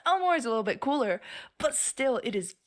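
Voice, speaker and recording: A young woman from Japan streaming and chatting casually, animated and swearing freely as she acts out what other people say. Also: a little high, fast. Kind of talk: casual chat. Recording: music playing behind.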